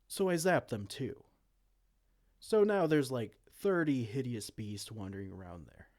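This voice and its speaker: A man playing a vain, silly, soft-hearted evil king in a comedic fantasy roleplay, pompous and theatrical but slipping into casual dorkiness. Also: mid-range voice, expressive, a little slow, lightly acted. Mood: indignant. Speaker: audio roleplay performer.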